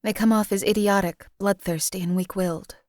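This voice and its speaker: A woman voicing a young female assassin in a game, speaking in a flat, clipped, unemotional way. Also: medium pitch, fairly quick, no room sound, clean studio sound, natural voice. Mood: cold. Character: cold detached female assassin, young adult. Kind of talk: game companion voice lines.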